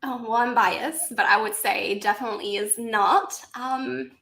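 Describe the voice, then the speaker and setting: A woman's voice: on the high side, fairly even intonation, a little slow, pushed and bright, reverberant. A cheerful woman from Latvia with a Latvian accent with an American touch, a guest speaking as a LinkedIn coach over a video call. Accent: Latvian with American influence. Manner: cheerful, laughs easily. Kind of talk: casual interview chat. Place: home in London.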